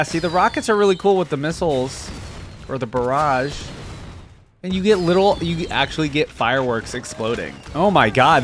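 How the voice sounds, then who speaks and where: Man casually commentating over background noise. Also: mid-range voice. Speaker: reaction video host. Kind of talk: casual reaction commentary on game skins.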